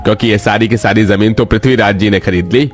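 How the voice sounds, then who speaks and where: A man's voice: on the low side, fast, soft background music, lightly acted. A man playing a stingy, domineering landowner in a cartoon story, with a brusque, condescending manner. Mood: scornful, bossy. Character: stingy, domineering landowner boss of farm labourers. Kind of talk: Hindi cartoon story acting.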